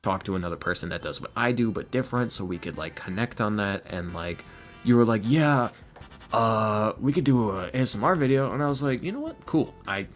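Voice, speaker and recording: Male podcast guest, fairly low voice, chatty anecdotal delivery with acted-out quotes, light guitar music behind. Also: lively intonation, a little fast. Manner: chatty, informal, acts out quotes. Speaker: podcast guest, voice actor and ASMR creator. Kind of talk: casual chat, storytelling.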